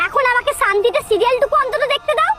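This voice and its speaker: A piercingly high cartoon wife rattles along quickly over loud music. She is bossy, mercenary and sharp-tongued, scolding and mocking in a comic household squabble. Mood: irritated. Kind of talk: comic cartoon dialogue in colloquial Bengali.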